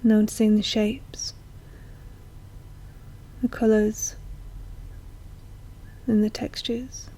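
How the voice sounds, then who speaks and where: Soft, husky woman guiding a slow meditation. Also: a little high, level tone. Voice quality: soft, breathy, often near a whisper. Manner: gentle, soothing, unhurried with long pauses. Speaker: guided meditation teacher. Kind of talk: slow guided meditation narration.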